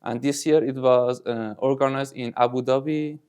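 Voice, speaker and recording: A man from Iran co-hosting a casual chat, measured and slow, with frequent fillers. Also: mid-range voice, steady tone, darkish tone, clear, resonant tone. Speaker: co-host.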